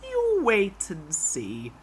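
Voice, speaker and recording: A woman voicing a gentle, reassuring kindly engine in a storybook reading. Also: medium pitch, huge pitch swings, drawn-out words, breathy edge, heavily acted. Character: kind, reassuring old engine.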